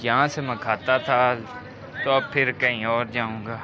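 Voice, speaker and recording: A man's voice: mid-range voice, fairly even intonation, measured pace, highs cut off, crowd noise. A man with a slightly sore throat chatting to viewers as he walks through his village to a shop. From Pakistan. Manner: chatty, addresses viewers directly. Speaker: vlogger. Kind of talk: casual vlog walking through a village. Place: outdoors in a village lane.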